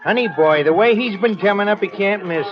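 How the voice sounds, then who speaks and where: An actor playing a cocky, bullying ex-convict blackmailer in an old-time radio crime drama, domineering and needling. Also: male voice, sits high, brisk, music in the background, natural voice. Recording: dull vintage radio recording.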